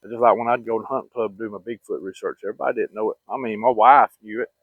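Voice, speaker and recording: A man's voice: on the low side, steady tone, fast, creaky, breathy edge, dry recording. A man with a Southern US accent tells personal stories in a casual, folksy, rambling, plain-spoken way. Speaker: vlogger. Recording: muffled, phone-like narrow-band audio.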